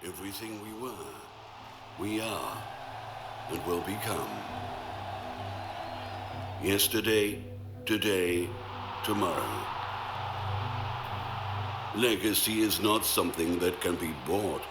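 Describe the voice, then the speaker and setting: A man's voice: low-pitched, heavy background noise. A man giving dramatic scripted narration for a promotional video in a solemn, epic manner. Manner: slow, solemn, epic delivery. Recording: voice-over under loud music.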